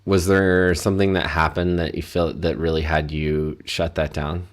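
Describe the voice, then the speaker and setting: A man with a very deep voice hosts a casual interview. He is encouraging and asks lots of questions, often stopping to rephrase one. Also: little pitch movement, moderate pace. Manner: warm, encouraging, asks questions and restarts them. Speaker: podcast host.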